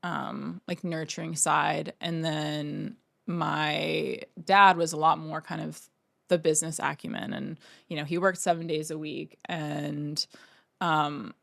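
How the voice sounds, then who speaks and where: A woman's voice: sits low, flat delivery, slow pace, creaky, fairly bright tone, no room sound, clean studio sound. A woman in her thirties from Oregon, telling family stories in a casual interview, rambling with lots of fillers. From Oregon, United States. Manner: rambling, filler-heavy storytelling. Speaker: painter, interview guest.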